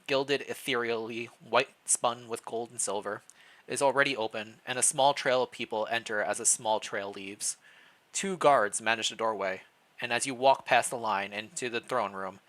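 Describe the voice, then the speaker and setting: Bright-voiced man reading a story aloud. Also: mid-range voice, fairly even intonation, moderate pace, low-quality recording. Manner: stumbles over words while reading aloud, self-deprecating. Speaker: streamer reading fan fiction aloud. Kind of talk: expressive story narration with casual chat.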